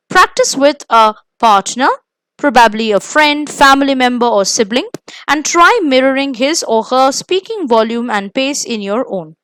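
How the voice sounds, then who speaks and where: High-pitched, expressive Indian woman presenting a lesson. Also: no room sound. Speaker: host of an exam coaching video. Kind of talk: scripted instructional presentation.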